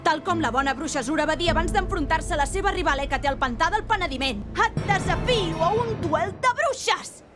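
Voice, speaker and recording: A woman's voice: extremely high pitch, expressive, brisk, hardly any pauses. A woman voicing a cheerful, plucky teenage apprentice witch in an amateur cartoon fandub. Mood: defiant. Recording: music behind the voice.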